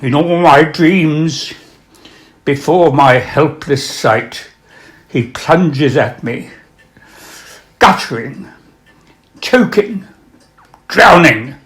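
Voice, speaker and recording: A man presenting and reading literature aloud, with a measured, dramatic delivery when reciting. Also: fairly high pitch, expressive, fairly bright tone. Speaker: reader and presenter of poems and prose. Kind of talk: literary recital with spoken introductions.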